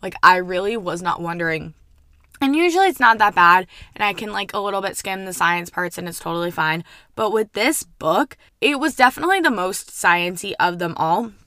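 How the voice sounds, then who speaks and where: A young woman hosting a casual book review podcast, her voice hoarse and gravelly from overuse, rambling chattily with casual swearing. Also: medium pitch, expressive, moderate pace, sharp tone, dry recording, studio quality. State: hoarse, worn-out voice. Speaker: podcast host.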